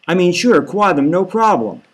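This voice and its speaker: A man's voice: sits high, lively intonation, moderate pace. A man voicing an indignant surrogate mother in a satirical audiobook, firing insistent, repeated questions. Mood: indignant, demanding. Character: indignant, nagging surrogate mother confronting her boss. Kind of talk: satirical audiobook character reading.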